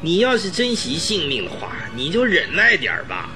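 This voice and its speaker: A man's voice: on the high side, huge pitch swings, normal speed, over music. An actor playing a cautious, grumbling taxi driver in a radio drama, drawling his admonishments. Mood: grumbling, cautionary. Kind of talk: Mandarin radio drama acting.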